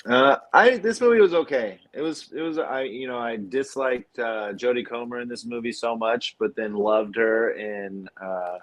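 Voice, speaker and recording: A man hosting a film podcast in a casual, halting way, thinking aloud as he goes. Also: mid-range voice.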